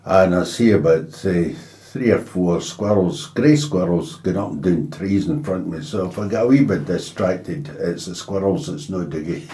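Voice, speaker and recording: A man with a Scottish accent interviewing informally, chatty and halting in his delivery. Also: deep voice, warm tone. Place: indoors in a house. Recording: roomy, echoey home recording.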